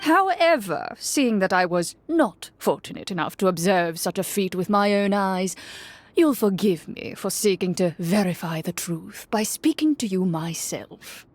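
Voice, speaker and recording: A woman voicing a smooth, scheming male prime minister in a read-aloud game story, speaking with slow, drawn-out courtesy. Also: medium pitch, animated. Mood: silkily polite.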